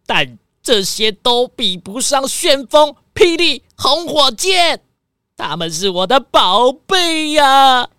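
A woman's voice: high voice, very animated, slow, a bit bright, clear, resonant tone, dry recording, clean studio sound, full character voice. A woman with a Taiwanese Mandarin accent playing a spoiled, pompous rich man in a children's radio drama, whiny, dramatic and pleading. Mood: desperate, pleading.

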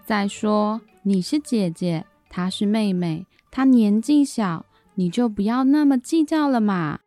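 A woman's voice: medium pitch, animated, a little slow, dark tone, dry recording, soft background music, close to an everyday voice. A woman acting a weary, placating mother in a children's radio drama. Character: mother refereeing her daughters, weary and placating.

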